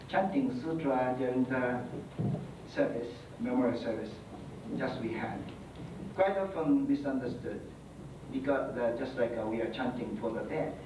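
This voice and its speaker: Halting Buddhist priest at a podium, distant mic, booming hall echo. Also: male voice. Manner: halting, many fillers, non-native phrasing. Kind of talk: conference talk. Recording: echoey hall from far off, noisy.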